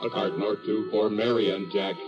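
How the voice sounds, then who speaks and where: Men of a vocal quartet singing a 1940s close-harmony radio jingle. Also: male voice, lowish voice, steady tone, a little fast, highs cut off, over loud music.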